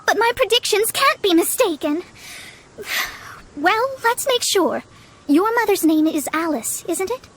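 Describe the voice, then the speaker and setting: A woman's voice: very high voice, expressive, unhurried, fairly bright tone, heavily acted. A woman voicing a proud, learned young female astrologist in a video game, speaking in formal, haughty, theatrical phrases. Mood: formal, insistent. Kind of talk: scripted video game voice acting. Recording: studio voice over game music.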